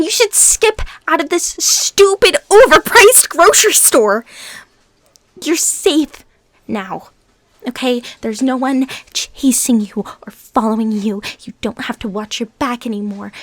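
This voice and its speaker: Woman acting an intense, wounded ex-stalker, high-voiced. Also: dry recording. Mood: agitated, pleading. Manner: halting, emotional, swinging between hushed whispers and outbursts. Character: intense lovesick ex-stalker woman, bitter, wounded, fighting obsession. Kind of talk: intimate dramatic audio roleplay monologue.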